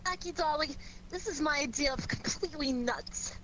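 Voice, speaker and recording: A woman's voice: high-pitched, moderate pace, a bit bright. An actress playing a detective's sassy, teasing girlfriend in a 1940s radio drama, with a playful, wry manner. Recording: slightly noisy old 1940s radio recording.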